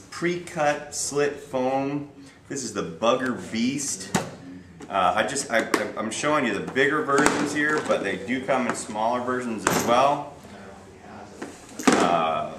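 An American man presenting products informally in a shop, unscripted, plugging them in short clipped phrases. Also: mid-range voice. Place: small retail shop, indoors. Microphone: camera a few feet away. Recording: echoey shop, distant camera mic, faint noise.

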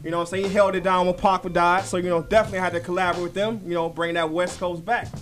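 Male American rapper as studio guest: higher-pitched, casual, slangy, appreciative, faint music bed. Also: steady tone, very fast, a bit bright. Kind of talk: casual interview chat. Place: small radio studio. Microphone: studio boom mic.

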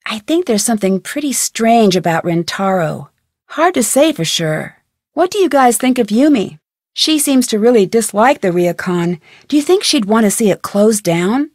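An actress playing a curious, level-headed young female detective in an adventure game, speaking in an earnest, composed, clearly enunciated way. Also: on the high side, darkish tone, dry recording, clean studio sound. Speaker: voice actor, game protagonist. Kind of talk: scripted adventure game dialogue.

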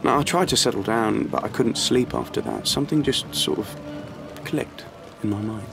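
A man in his twenties playing an awkward, uneasy young man in an audio play, speaking haltingly. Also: mid-range voice, creaky, natural voice. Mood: hesitant, confiding. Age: 20s. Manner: hesitant, halting. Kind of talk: audio play monologue and dialogue. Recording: music behind the voice.

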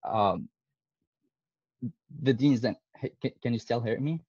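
A man's voice: mid-range voice, measured pace, a little mellow, breathy edge, no room sound. A young man from Syria, a medical student in his twenties, presenting on a video call and speaking hesitantly with many fillers. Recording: dull, lo-fi video call audio.

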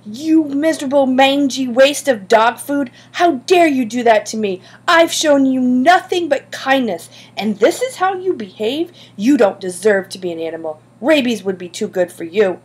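A woman's voice: medium pitch, moderate pace, vocal fry, breathy edge. A woman voicing an outraged, principled schoolgirl in an audiobook, with an indignant and scathing delivery. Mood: furious. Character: outraged, principled schoolgirl animal lover, fiery and scolding. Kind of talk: audiobook character dialogue.

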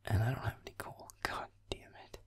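A man playing a grumpy, sarcastic werewolf boyfriend in an audio roleplay, muttering irritably over a game in a hushed, gravelly voice. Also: a little fast, in a whisper, close to an everyday voice. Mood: sardonic, fed up. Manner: sardonic, irritable, swearing under breath.

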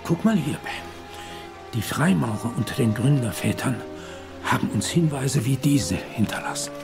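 An actor playing an old grandfather, telling treasure legends solemnly with hushed, dramatic pauses in dubbed film dialogue. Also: mid-range voice, lively intonation, creaky, music in the background. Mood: solemn, mysterious. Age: older.

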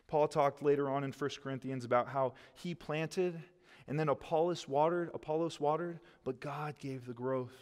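A man's voice: on the high side, fairly even intonation, normal speed, a little mellow. A man in his thirties, a pastor preaching at a lectern, earnest and gesturing as he quotes scripture. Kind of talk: sermon. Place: church stage behind a lectern, indoors. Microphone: headset mic. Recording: long hall reverb.

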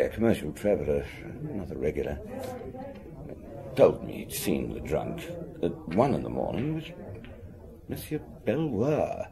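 A man's voice: very deep voice, vocal fry, dark tone, heavily acted. A man playing a gossipy, hesitant café owner in a radio drama.

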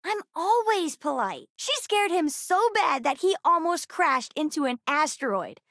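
A woman voicing a bright, bookish, sassy young girl in a video game, earnest, chatty and precocious. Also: very high voice, big pitch swings, unhurried, no room sound, studio quality, heavily acted. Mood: cheerful, earnest. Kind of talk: video game character dialogue.

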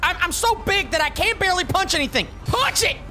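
A man's voice: extremely high pitch, lively intonation, brisk, loud music behind the voice. Hyper young man narrating gameplay. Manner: hyper, joking, voice swings wildly. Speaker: gaming YouTuber. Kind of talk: energetic gameplay commentary. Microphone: headset with studio mic.

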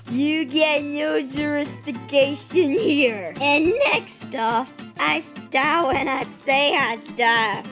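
A woman voicing a hot-headed, scrappy skeleton in a comic dub, brash and spoiling for a fight. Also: sits high. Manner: brash, combative. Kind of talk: comic dub cartoon acting.

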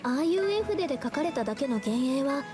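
A woman's voice: sits high, animated, fairly quick. An actress playing a shy, earnest, naive young woman painter in an anime game, speaking politely and hesitantly. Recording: background music under the voice.

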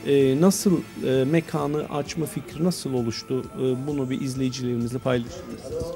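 A man's voice: medium pitch, fairly even intonation, moderate pace. A man working as a TV reporter, interviewing people in a restaurant on a handheld microphone. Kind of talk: on-location interview. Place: restaurant dining room. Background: music.

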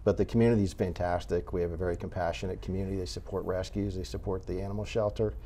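Low-voiced, mellow older man. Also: fairly even intonation, racing. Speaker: cat rescue volunteer, interview guest. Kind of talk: unscripted interview talk. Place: indoor TV studio set. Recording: clear background noise.

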